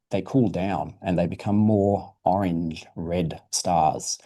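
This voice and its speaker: A man's voice: deep voice, warm tone. A man presenting science, answering questions informally in an encouraging, explanatory way for a young audience.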